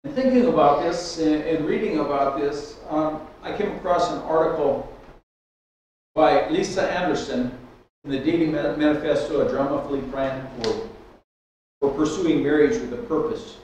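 A man's voice: on the high side, normal speed, distant mic, a little hiss or hum. An older man preaching a sermon from a lectern, telling a personal story with many restarts. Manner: storytelling, animated with hand gestures, frequent restarts. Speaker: pastor. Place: church hall with stage and keyboard. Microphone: stand mic at lectern, far camera. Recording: echoey hall, speaker off mic.

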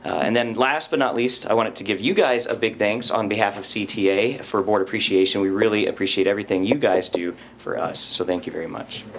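A man's voice: lowish voice, brisk, vocal fry, few pauses, a bit bright, a little hiss or hum. A man in his thirties, a teachers' association representative, giving an enthusiastic, earnest report to a school board. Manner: enthusiastic, earnest, standing while speaking. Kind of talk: spoken report at a board meeting. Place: school board meeting room, indoors.

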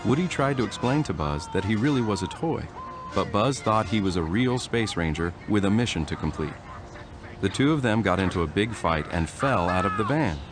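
Low-voiced man reading a storybook. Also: lively intonation, moderate pace. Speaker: storybook reader. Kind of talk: reading a children's storybook aloud. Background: music.

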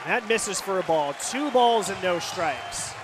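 A man's voice: sits high, lively intonation, a little slow. An American man calling live baseball on radio as play-by-play announcer, describing the action as it happens. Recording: loud stadium crowd noise behind. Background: ballpark crowd and outdoor stadium ambience.

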